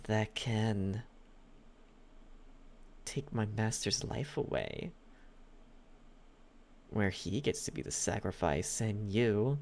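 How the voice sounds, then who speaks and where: Man acting a slow, possessive demon butler. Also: mid-range voice, a little mellow, slight background noise, full character voice. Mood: sly, explanatory. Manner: slow, halting, coaxing delivery with lingering pauses. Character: possessive, lovesick yandere demon butler, coaxing and menacing. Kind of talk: ASMR roleplay, intimate and close.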